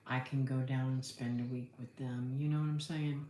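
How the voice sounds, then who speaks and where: A woman's voice: extremely low pitch, level tone, normal speed, warm tone. A middle-aged American woman chatting casually on a livestream, rambling and thinking aloud in a folksy way as she answers viewers. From Florida, United States. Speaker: livestream host, homesteader. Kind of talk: casual livestream chat, reading and answering comments. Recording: roomy echo, faint background noise.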